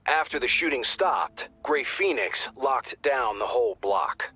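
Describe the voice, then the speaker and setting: A man's voice: medium pitch, animated, measured pace, gravelly, sharp tone, breathy edge, heavily acted. A man playing a dry, wisecracking intelligence officer of a tactical squad in a video game. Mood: businesslike. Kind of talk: scripted game dialogue. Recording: music behind the voice.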